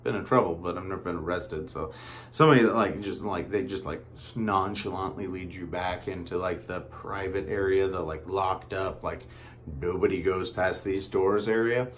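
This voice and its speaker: A man with a fairly low voice tells a story in a loose, conversational style. He rambles and pauses often, wandering into asides before picking the thread back up. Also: a little hiss or hum. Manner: rambling and halting, with frequent asides. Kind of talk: casual personal storytelling.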